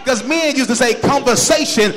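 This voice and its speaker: A man preaching a fiery sermon with emphatic, rhythmic repetition and colloquial phrasing, often rising into shouts and sing-song. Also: very high voice, expressive, normal speed, slight background noise. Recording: echoey hall with long reverb.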